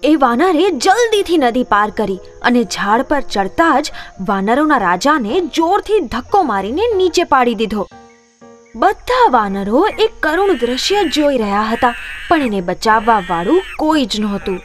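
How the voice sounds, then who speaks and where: High, animated woman storyteller. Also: measured pace, faint music behind. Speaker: children's story narrator. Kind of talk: expressive scripted storybook narration.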